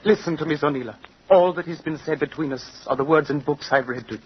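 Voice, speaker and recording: An actor playing an ardent, bookish young suitor in an old radio melodrama, speaking with earnest, poetic declamation. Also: male voice, fairly high pitch, fast, breathy edge. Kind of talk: vintage radio melodrama acting. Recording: muffled, noisy old radio recording.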